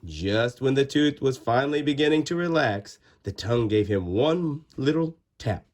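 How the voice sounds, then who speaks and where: A man reading a children's storybook aloud in an expressive, dramatic way with drawn-out words and pauses. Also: medium pitch. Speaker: storybook reader. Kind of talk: animated children's read-aloud narration.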